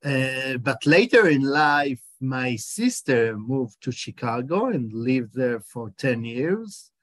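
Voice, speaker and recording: A man in his forties with an Israeli accent, a documentary director answering interview questions in a halting way, often pausing to search for words. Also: mid-range voice, very animated, fairly bright tone, no room sound, studio quality.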